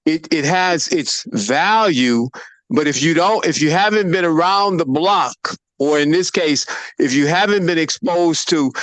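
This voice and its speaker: A man's voice: fairly high pitch, fairly bright tone, no room sound, poor audio. A man in his seventies with a slightly raised voice, explaining his method earnestly and at length on a video call. The audio is dry and close. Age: 70s. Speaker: breathing practitioner. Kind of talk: casual chat.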